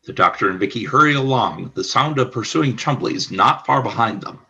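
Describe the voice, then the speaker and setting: A man narrating a scripted radio play as announcer, in a formal, deliberate storytelling manner. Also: mid-range voice, animated, vocal fry, pushed and bright, breathy edge, dull audio.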